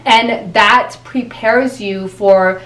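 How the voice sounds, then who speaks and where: A woman's voice: medium pitch, fairly even intonation, very slow, fairly bright tone. A woman with a Japanese accent whose first language is Japanese, explaining speech technique as a guest coach in a conversational interview. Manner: explanatory, teaching tone with frequent restarts. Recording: echoey room.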